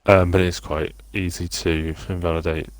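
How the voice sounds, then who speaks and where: A man's voice: deep voice, little pitch movement, moderate pace, no room sound. A man co-commentating a live sim racing stream, easygoing and joking as he calls the action.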